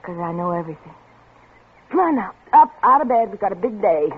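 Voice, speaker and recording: Lively 1940s radio wife, tinny. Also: female voice, mid-range voice, a little fast, husky. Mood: brisk, fond. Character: affectionate, lively wife and mother. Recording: tinny, noisy old radio recording.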